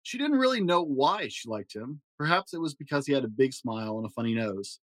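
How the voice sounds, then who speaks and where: A man reading a children's fairy tale aloud in a gentle storytelling manner. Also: big pitch swings, a little fast, no room sound. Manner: gentle storytelling with varied pitch for emphasis. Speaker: storybook reader.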